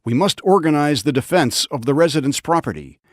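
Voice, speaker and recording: Commanding army officer, animated delivery. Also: male voice, fairly high pitch, no room sound, studio quality. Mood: grave, wary. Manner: commanding, deliberate. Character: grave, resolute Allied army officer briefing soldiers. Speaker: military commander. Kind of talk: scripted mission briefing.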